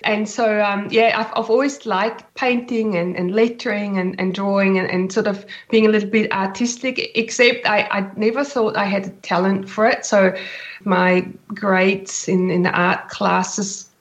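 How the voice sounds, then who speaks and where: A woman's voice: mid-range voice, steady tone, measured pace. A woman from Switzerland with a Swiss accent, chatting casually as an interview guest.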